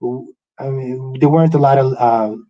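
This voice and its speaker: A man from Guatemala whose first language is Spanish, hosting a casual interview with a relaxed, stammering delivery. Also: medium pitch, moderate pace, lo-fi sound. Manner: relaxed, hesitant with stammered restarts. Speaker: radio host.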